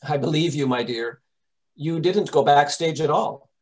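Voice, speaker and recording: A man playing a calm gentleman detective in an old-time radio drama, measured, reassuring and authoritative. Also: fairly high pitch, natural voice. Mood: explaining.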